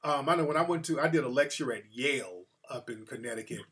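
A man's voice: mid-range voice, quick pace, a bit bright, husky. A man hosting a call-in show, chatting casually with callers and teasing them in loose slang. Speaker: host.

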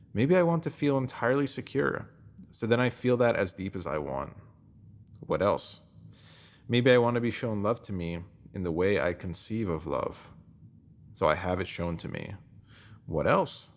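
Low-pitched man reading self-help teachings. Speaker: narrator reading a written post. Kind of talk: scripted self-help narration.

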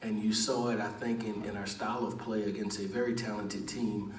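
A middle-aged man coaching a football team speaks at a postgame press conference, low-pitched and fairly flat in melody. He talks in a measured, earnest way, full of "uh"s and restarts. The sound comes from across a large echoey room with faint background hum. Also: far from the mic. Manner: measured, earnest, many "uh" pauses and repeated words. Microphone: table mic, recorded from across the room.

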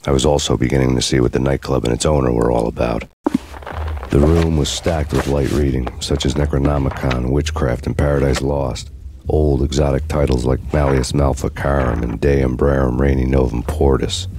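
An actor playing a grim, world-weary renegade cop, narrating in a deadpan, brooding noir style. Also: male voice, rumbling low, normal speed, slight background noise. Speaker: protagonist and narrator.

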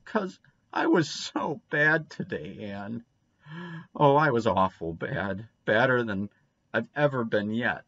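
A desperate little boy from a storybook, acted out by a male reader, blurts and pleads in childish, ungrammatical speech. The pitch swings widely. The sound is dry and a bit dull. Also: very animated, takes it slowly, a bit bright, dry recording, full character voice. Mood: miserable, pleading. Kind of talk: storybook character reading.

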